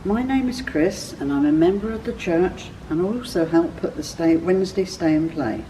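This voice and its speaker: A woman reading a scripted children's story as a church storyteller, with expressive, clearly phrased delivery. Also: lowish voice, moderate pace, far from the mic, slight background noise, poor audio.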